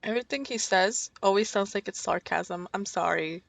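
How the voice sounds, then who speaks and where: A woman's voice: mid-range voice, moderate pace, creaky, a bit bright, no echo. A woman VTuber giving casual live commentary on a game, with blunt, playful interjections. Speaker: VTuber streamer.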